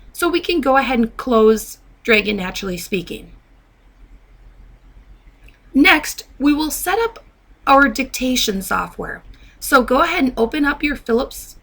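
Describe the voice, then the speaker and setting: A woman narrating a step-by-step software tutorial as a trainer, in a methodical, instructional way. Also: high-pitched.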